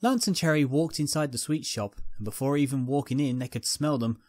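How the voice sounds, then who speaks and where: A man's voice: medium pitch, brisk, a little mellow, no echo. A man narrating a scripted fan fiction story.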